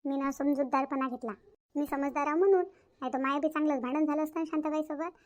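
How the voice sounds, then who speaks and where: A woman's voice: sits high, fairly even intonation, fast talker, natural voice. A woman playing a bossy, self-praising village mother in a Marathi family cartoon, chatty and emphatic, with a Varhadi accent from Vidarbha. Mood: defensive. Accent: Varhadi Marathi of Vidarbha, India.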